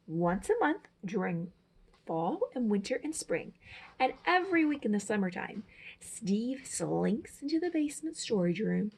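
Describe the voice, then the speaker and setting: A woman's voice: medium pitch, sing-song, unhurried, vocal fry, husky. A woman reading a children's picture book aloud as a storyteller, with dramatic, emphatic phrasing. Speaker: storyteller, teacher.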